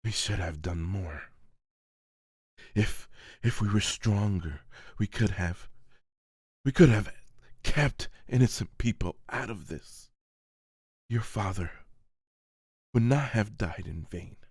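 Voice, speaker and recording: A man plays a grave seneschal from a fantasy manga. He speaks in a low, slow voice, earnest and weary, with solemn pauses. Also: a little mellow, husky, no echo, clean studio sound, full character voice. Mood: sorrowful resolve. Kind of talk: dramatic manga voice-over.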